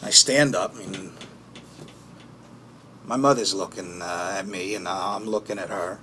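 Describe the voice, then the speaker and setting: A man playing a haunted, bitter man who recounts childhood trauma in a halting, foul-mouthed documentary-interview monologue. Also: low voice, pushed and bright, slight background noise. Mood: agitated, haunted. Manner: halting, foul-mouthed, with pauses and emphatic bursts. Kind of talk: naturalistic dramatic monologue in interview form.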